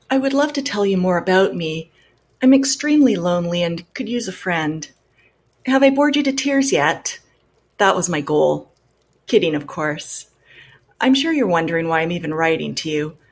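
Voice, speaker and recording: A woman hosting a show, reading letters aloud in an earnest, measured way and adding pointed comments of her own. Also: lowish voice.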